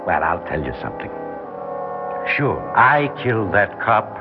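An American actor playing a bitter, mocking cop killer on death row in 1960s radio drama, with a tough, slangy, sneering delivery. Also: male voice, lowish voice, very animated, measured pace. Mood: mocking, unhinged. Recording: dull 1960s radio recording, music behind.

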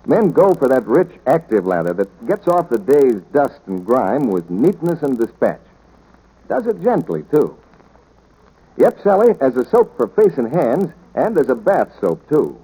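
A man's voice: mid-range voice, mellow, breathy edge, rough recording. A male radio announcer delivering scripted 1940s announcements and sales patter. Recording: old 1940s radio broadcast.

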